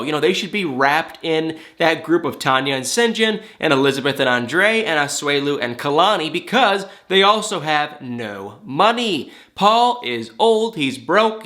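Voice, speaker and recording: Casual male TV recapper, fairly high and bright, with a head cold, chatty and opinionated. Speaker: TV recap host.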